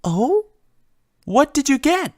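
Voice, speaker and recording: A woman voicing a gentle, shy young pony character in a story reading, soft and sweet. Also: sits high, sing-song, slow pace, no room sound, studio quality, pitched above the performer's own voice, full character voice. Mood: curious, tender. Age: young adult. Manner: sweet, timid.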